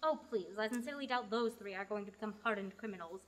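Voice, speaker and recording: A woman playing a brisk, dry, self-assured aspiring knight in a radio play. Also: mid-range voice, heavy background noise, close to an everyday voice.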